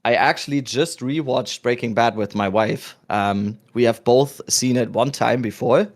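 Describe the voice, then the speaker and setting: A man in his thirties with a German accent, hosting a podcast in a relaxed, rambling chat with restarts. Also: mid-range voice, unhurried. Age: 30s. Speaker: podcast host. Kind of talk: casual chat.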